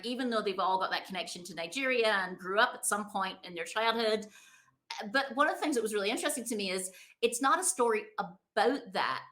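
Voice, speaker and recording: Bright, quick-talking woman interviewer. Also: mid-range voice. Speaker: podcast host, author. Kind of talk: casual interview chat.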